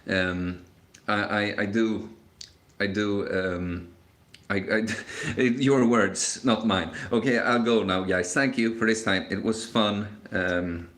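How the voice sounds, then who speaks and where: A young man in his late twenties chats loosely through a live Q&A, stumbling over restarts and filling pauses with "um" and "like". His voice sits a little low for a man and rings around a roomy, echoey space. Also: fairly even intonation, fairly bright tone. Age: 20s. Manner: rambling, hesitant, many fillers and restarts. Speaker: live stream host. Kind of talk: casual live Q&A chat. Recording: echoey room.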